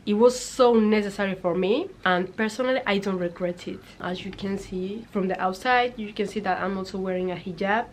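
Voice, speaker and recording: A woman's voice: medium pitch, a little fast, hardly any pauses, a little hiss or hum. A young woman from Senegal, not a native English speaker, chatting upbeat to her camera as a YouTuber, repeating phrases for emphasis. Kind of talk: casual talking-to-camera vlog.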